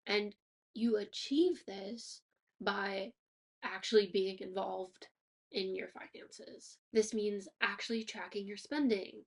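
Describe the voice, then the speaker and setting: A woman's voice: medium pitch, creaky, rough recording. A woman hosting a personal finance podcast, sounding a bit stuffy and nasal from allergies, upbeat and motivational with casual fillers. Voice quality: slightly nasal. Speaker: podcast host. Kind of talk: scripted podcast monologue.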